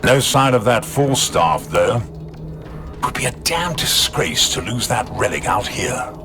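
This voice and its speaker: A man playing a gruff, self-mocking space marine tech-smith in a video game, with a wry, colloquial delivery. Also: mid-range voice, animated, unhurried, music in the background. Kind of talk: game cutscene acting.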